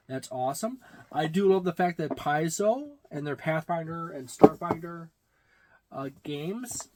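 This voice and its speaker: A man in his forties giving a casual, unscripted product review with halting, deliberate phrasing. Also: a little high. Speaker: tabletop game reviewer. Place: small indoor room lined with shelves of games.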